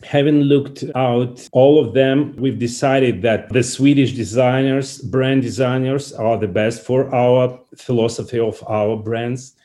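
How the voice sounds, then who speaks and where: A man with a Russian accent gives interview answers at a very unhurried pace. His English is non-native and halting in its phrasing, and his pitch sits in an average male range. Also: steady tone, warm tone. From Saint Petersburg, Russia. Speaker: interview guest, company founder.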